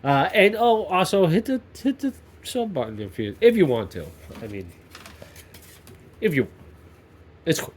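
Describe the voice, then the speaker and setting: A man's voice: a little high, very animated, a little slow, pauses often, a little hiss or hum. A man with a stuffy nose from a cold, rambling and joking as he hosts a workshop vlog, often cutting himself off mid-sentence. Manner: rambling, self-interrupting, jokey. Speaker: YouTube maker channel host. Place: home workshop.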